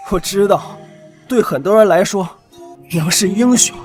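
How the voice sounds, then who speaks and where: An actor playing a cocky, impulsive young lord in a period drama, with a hurt streak underneath. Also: male voice, sits high, a little slow. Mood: wounded. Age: young adult. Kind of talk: Chinese period drama acting. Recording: prominent background music.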